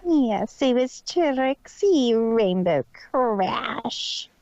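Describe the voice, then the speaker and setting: A man voicing a boastful, cruel female magician pony in a theatrical, drawn-out manner for an audio story. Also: very high voice, animated, darkish tone, dry recording, muffled recording, voice pushed up, heavily acted. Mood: gloating, cruel. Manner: theatrical, drawn-out, speaks of self in third person.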